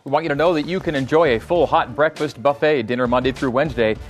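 A man's voice: medium pitch, quick pace, soft background music. A man hosting a sports broadcast, reading promos and introductions in a polished way. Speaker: sports show host.

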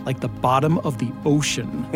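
A man's voice: mid-range voice, steady tone, normal speed, music in the background. A man co-presenting a podcast, giving a scripted story introduction on a studio mic.

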